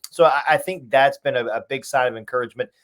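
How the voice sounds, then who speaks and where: A man's voice: mid-range voice, quick pace. A man who works as a radio play-by-play announcer, giving fluent sports analysis as an interview guest.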